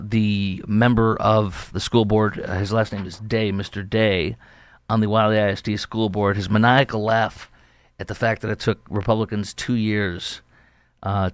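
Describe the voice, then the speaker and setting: A man's voice: fairly low pitch, a bit bright, dry recording. A man hosting a political talk show, giving emphatic, indignant, rambling commentary.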